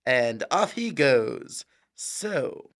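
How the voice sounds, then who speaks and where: A man playing a cheeky, easygoing and slightly dim teenage high school boy in an audio drama, with breezy, slangy talk. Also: sing-song, extremely slow, a bit bright, exaggerated voice. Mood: friendly.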